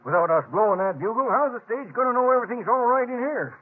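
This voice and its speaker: An actor playing a gruff, bossy veteran stagecoach station keeper with folksy rural speech in a 1950s radio drama. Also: male voice, very high-pitched, animated, fast talker, full character voice. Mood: nagging. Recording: noisy, tinny vintage radio recording.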